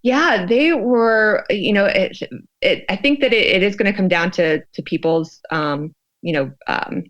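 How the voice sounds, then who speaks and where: A woman's voice: medium pitch, unhurried, a bit bright, highs cut off. An American woman talking conversationally as an expert interview guest, feeling her way thoughtfully through her phrasing. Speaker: expert guest, sociologist researching fear.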